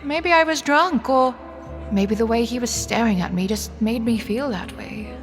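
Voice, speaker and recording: A woman's voice: on the high side, normal speed, music in the background, close to an everyday voice. Woman acting a wry, flustered young woman. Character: anxious, sardonic young woman, broke and flustered, defiant underneath. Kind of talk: first-person dramatic narration and dialogue.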